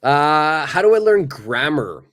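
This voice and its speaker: A male native English speaker teaching a live online English lesson, answering viewers' questions in a relaxed, chatty way with lots of fillers. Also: on the high side, slow. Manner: relaxed and conversational, many fillers, acts out little examples.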